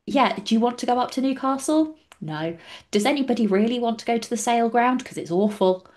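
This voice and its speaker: A woman's voice: fairly high pitch, lively intonation, brisk, darkish tone. A woman with an English accent giving emphatic, outspoken opinions in a casual podcast discussion. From England. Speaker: podcast panellist.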